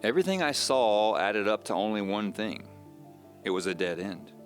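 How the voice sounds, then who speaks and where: A man playing an uneasy man recounting his own mystery in measured, confiding, scripted audio drama narration. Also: lowish voice, lively intonation, faint music behind, natural voice. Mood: puzzled, dejected.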